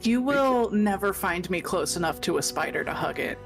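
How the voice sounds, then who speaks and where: A woman's voice: mid-range voice, lively intonation, moderate pace, gravelly. A woman playing a live tabletop game, bantering casually with a dry, playfully sarcastic manner. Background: music.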